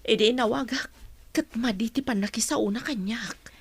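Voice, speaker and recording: A woman's voice: fairly high pitch, moderate pace, slightly rough. A woman playing a caring, long-suffering middle-aged mother in a radio drama. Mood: sad, resigned.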